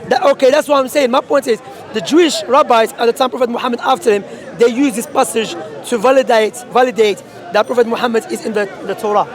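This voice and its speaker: A man's voice: extremely high pitch, fast. Insistent man preaching over a crowd. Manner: insistent, interrupting, quotes scripture. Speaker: street preacher. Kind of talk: street debate. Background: crowd chatter.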